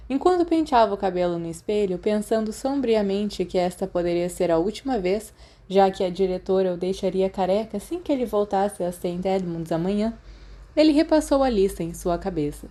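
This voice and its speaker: A woman's voice: mid-range voice, dark tone. A woman with a Brazilian accent reading a scripted story as an audiobook narrator. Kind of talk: scripted fan fiction narration.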